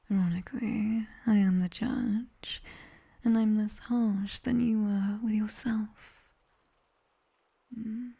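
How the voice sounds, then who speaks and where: A sharp-tongued demoness tutor, acted by a woman with a British accent, whispering right at the microphone. The voice is very breathy and soft-edged, with a crackle of fry in it. She gives unhurried, teasing commands in the manner of an ASMR sleep roleplay. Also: mid-range voice, heavily acted. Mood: wry, teasing. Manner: wry, instructing, unhurried. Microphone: close binaural microphone.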